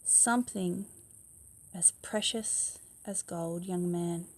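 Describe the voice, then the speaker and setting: A kindly, wise storybook neighbour, voiced by a woman reading to children. The delivery is measured, with simple phrases repeated for emphasis. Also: on the low side. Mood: wise, tender.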